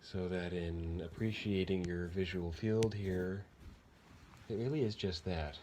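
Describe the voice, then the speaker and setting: Slow, low-voiced man guiding meditation. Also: steady tone, slight background noise. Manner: gentle, unhurried, halting with long pauses. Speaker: guided meditation teacher. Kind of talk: guided body meditation, unscripted.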